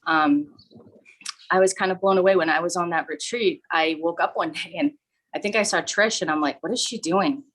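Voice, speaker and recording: A woman giving a casual, rambling talk, speaking in a flat monotone and using lots of fillers. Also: on the low side, moderate pace, low-quality recording. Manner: self-described monotone, relaxed and rambling with many fillers. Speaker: guest speaker. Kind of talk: casual talk on a live call.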